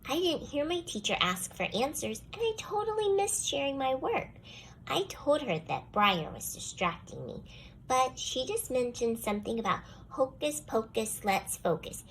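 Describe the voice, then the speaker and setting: A woman plays a young schoolgirl narrating her own storybook tale about school grievances. Her delivery is expressive and put-upon, with very lively swings in pitch. There is some noise behind the voice. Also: normal speed, full character voice, rough recording. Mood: indignant. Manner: expressive, complaining first-person storytelling. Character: jealous young schoolgirl, grudgingly softening toward the dog. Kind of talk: scripted children's storybook read-aloud.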